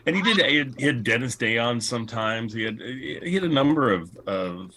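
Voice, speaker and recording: A man's voice: mid-range voice, animated, moderate pace, bright, forceful tone, slight background noise. A man hosting a casual online panel, talking in a chatty, informal, rambling way. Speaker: podcast host and panel moderator. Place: online video call panel.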